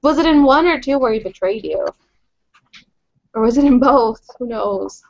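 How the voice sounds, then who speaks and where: A woman's voice: fairly high pitch, animated, normal speed, gravelly, a little mellow. Rambling woman, muffled podcast audio. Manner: rambling, self-deprecating, hedging with "like". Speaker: podcast co-host. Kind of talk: casual gaming chat. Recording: slightly muffled home recording.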